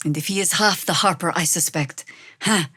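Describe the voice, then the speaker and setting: A woman playing a seasoned, wry, world-weary warrior druid in a video game, speaking in a dry, sardonic, measured way. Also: fairly low pitch, pushed and bright, breathy edge, no echo. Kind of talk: dramatic video game character dialogue.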